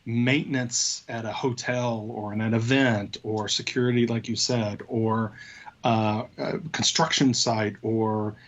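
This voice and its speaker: Guy co-hosting a tech show, muffled lo-fi sound, mid pitch, casual and kinda halting, working it out as he talks. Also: level tone.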